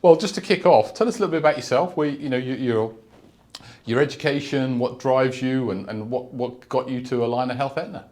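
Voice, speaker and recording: A man's voice: mid-range voice, brisk, a little mellow. A man hosts a business interview in a middle-range voice. He asks long, rambling questions that often restart. He keeps prompting his guest with mm-hmms. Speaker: interview host, company CEO.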